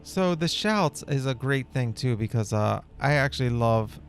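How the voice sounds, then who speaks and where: A man's voice: medium pitch, animated, moderate pace. A man giving casual, rambling roleplay commentary over a video game. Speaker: gaming video creator. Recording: game music behind the voice. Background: video game music and character dialogue.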